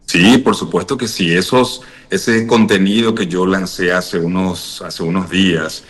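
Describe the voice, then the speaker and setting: A man from Paraguay, a podcaster guest speaking over a call, measured and thoughtful, repeating words as he searches. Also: deep voice, steady tone. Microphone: video or phone call.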